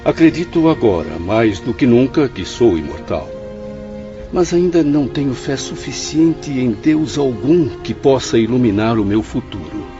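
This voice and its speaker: A Brazilian actor playing a troubled, resigned man in a radio drama, speaking in solemn measured phrases. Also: mid-range voice, animated, music in the background, lightly acted. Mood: doubtful, resigned. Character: troubled male spirit, doubting yet resigned. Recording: dull lo-fi audio.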